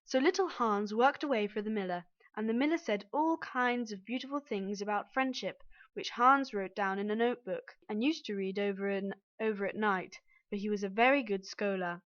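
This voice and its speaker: A woman's voice: mid-range voice, moderate pace. A woman narrating a scripted children's story as its reader. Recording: dull, band-limited audio.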